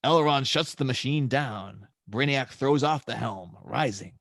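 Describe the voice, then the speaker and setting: A man's voice: mid-range voice, unhurried, creaky, fairly bright tone, no echo, clean studio sound. A man narrating scene descriptions and stage directions for a script table read.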